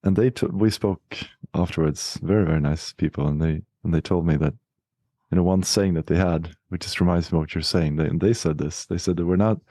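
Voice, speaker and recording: A man from Sweden, a podcast co-host who affirms readily, laughs often, and tells short stories in a relaxed chat. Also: low voice, fairly quick, no room sound. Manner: affirming, laughs easily, storytelling. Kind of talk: casual spiritual discussion.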